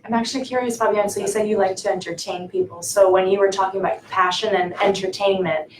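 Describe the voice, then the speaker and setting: A woman's voice: medium pitch, fairly even intonation, fast talker. A woman in her twenties on a discussion panel who talks in a friendly way and asks questions. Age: 20s. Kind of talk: casual panel talk. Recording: echoey room from far off, faint noise.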